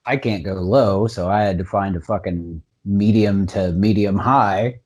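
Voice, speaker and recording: A man co-hosting a podcast in casual chat, excitable and swearing constantly. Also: fairly low pitch, a little slow, dull audio. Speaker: podcast co-host.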